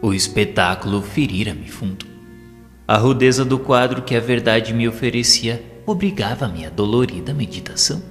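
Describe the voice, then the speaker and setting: A man's voice: mid-range voice, lively intonation, moderate pace. A man reading scripted dramatic narration in a solemn, formal, literary manner. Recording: long hall reverb, faint music. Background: faint music.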